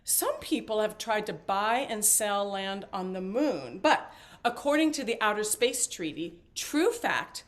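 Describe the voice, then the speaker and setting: A woman's voice: mid-range voice, animated, measured pace, lightly acted. An actress playing a talkative, flippant older mother in a stage play, rambling and joking theatrically with stammered asides. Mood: exuberant.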